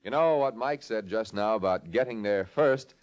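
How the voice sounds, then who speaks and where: A man's voice: on the low side, normal speed, clean tone, lo-fi sound, soft background music. A man with an American accent reading scripted sponsor copy and narration as an old-time radio announcer.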